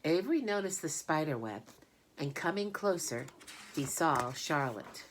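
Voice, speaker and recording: A woman with a low voice reads a children's storybook aloud. Her delivery is scripted narration with plenty of expression. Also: animated, unhurried, a little hiss or hum.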